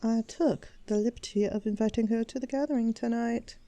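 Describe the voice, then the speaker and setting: Woman playing a mellow, composed elf queen. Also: a little high, steady tone, dry recording, lightly acted. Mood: persuasive. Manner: formal, measured, quietly assured. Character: composed, shrewd, persuasive elf queen and mother. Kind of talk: fantasy audio roleplay acting.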